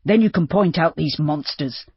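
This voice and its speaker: A woman reading an audiobook, voicing a steady, firm young gentleman in a muffled recording. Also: sits low, moderate pace, husky, dry recording, full character voice.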